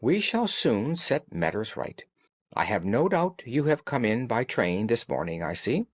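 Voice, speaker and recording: A man voicing a brisk, observant gentleman detective in a storybook, courteous, precise and assured. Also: medium pitch, sing-song, no echo. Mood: soothing, assured. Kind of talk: audiobook character dialogue.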